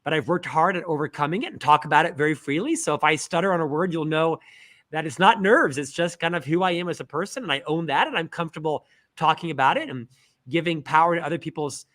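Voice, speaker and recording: A middle-aged man from Central Florida, a guest author in a casual interview, telling lively stories with self-deprecating humor. He has a stutter. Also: a little high, fast. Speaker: guest, author and podcast host.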